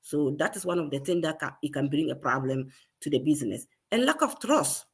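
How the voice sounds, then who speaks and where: A woman with a Ghanaian accent talks through business challenges in an earnest, repetitive way, stopping and restarting as she goes. Her voice sits a little low and has a slightly husky, breathy edge. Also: animated, brisk. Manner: earnest, repeats herself, many restarts. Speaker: guest. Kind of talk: casual conversation about business.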